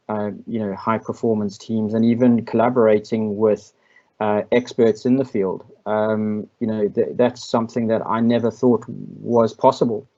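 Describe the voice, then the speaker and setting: A South African man speaks in a steady, level tone, giving his answers to an online interview in a measured, reflective way. He often pauses on ums and restarts. The audio is a little muffled, as over a video call. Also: fairly low pitch. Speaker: invited speaker. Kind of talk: online masterclass Q&A.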